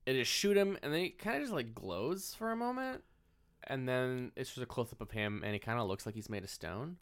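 Dude on a movie podcast, pitch bouncing around, wry and sweary, just chatting. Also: male voice, animated, brisk, fairly bright tone, smooth. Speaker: podcast co-host. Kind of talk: casual film recap chat.

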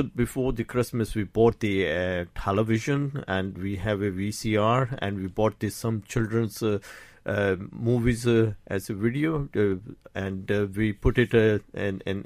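A man in his sixties from Pakistan with a Pakistani accent, a doctor and painter talking in a radio studio interview, with frequent pauses and fillers. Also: on the low side, no echo. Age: 60s. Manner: halting, many filler pauses.

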